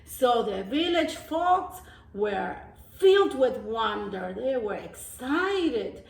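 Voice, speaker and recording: A woman reading a storybook aloud as a teacher of young children, pausing for simple explanations and repeating phrases. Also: a little high, very animated, vocal fry. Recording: roomy echo, distant microphone.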